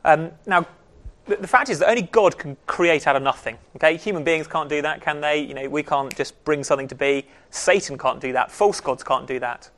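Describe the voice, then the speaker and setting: A man leading a Bible study group, explaining in a relaxed, conversational way with frequent pauses and restarts. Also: fairly high pitch. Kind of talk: casual teaching talk.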